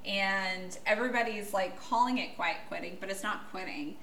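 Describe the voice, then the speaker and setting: A woman from the United States, a podcast guest, talking bluntly and loudly, laughing as she goes. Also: medium pitch, normal speed, slight background noise. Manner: loud, outspoken, blunt, laughing. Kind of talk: casual podcast chat. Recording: echoey room.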